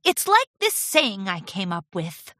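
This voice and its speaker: A woman's voice: mid-range voice, huge pitch swings, unhurried, sharp tone, dry recording, studio quality, heavily acted. A woman voicing an eager, devoted young female apprentice pony in a children's story, with an earnest manner. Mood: determined, eager.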